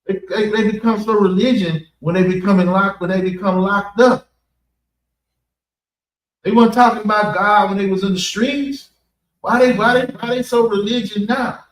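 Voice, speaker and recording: American guy preaching off the cuff, repeats himself a lot, kinda high voice, echoey and a bit distant. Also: fairly even intonation. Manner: halting, repeats phrases for emphasis. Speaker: preacher giving a public address at a mosque. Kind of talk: extemporaneous religious talk. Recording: echoey room, distant mic.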